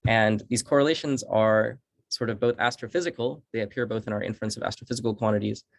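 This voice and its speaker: A man with a fairly low, noticeably creaky voice explains technical material as a seminar speaker. His phrasing is halting, with words repeated as he works through an idea. The recording is close and dry. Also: no room sound. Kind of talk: academic presentation and answering questions. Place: online virtual seminar.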